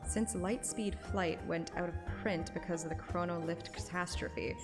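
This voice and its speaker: A woman's voice: fairly low pitch, steady tone, moderate pace. Playful woman streamer over music. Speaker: livestreamer. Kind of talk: casual stream chat and expressive game read-aloud.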